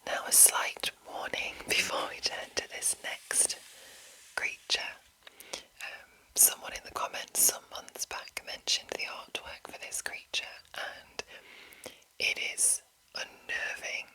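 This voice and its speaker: A woman hosting an ASMR reading, whispering in a hushed, deliberate way and lingering over short phrases. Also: poor audio. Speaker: ASMR host. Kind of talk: whispered ASMR reading of a game manual with casual commentary.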